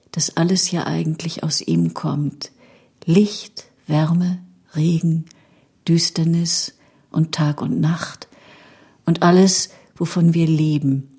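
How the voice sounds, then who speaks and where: A woman speaks slowly in a low, husky voice. There is a creak in it and a reflective, unhurried manner, as if weighing each phrase. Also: steady tone, dark tone. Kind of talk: reflective recollection and unhurried reading aloud.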